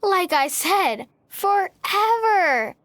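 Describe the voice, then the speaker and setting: A tiny, very high child's voice, swooping and dry. The kid is blunt, cheeky and utterly matter-of-fact, in video game style. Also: female voice, very animated, drawn-out words, fairly bright tone, no echo, exaggerated voice. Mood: blunt, insistent.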